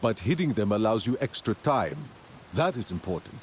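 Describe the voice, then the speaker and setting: Casting: proud archer for a game, lowish voice, animated, insistent, faint background noise. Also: male voice, normal speed. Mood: competitive, insistent. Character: earnest, competitive clan marksman. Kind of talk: game character acting.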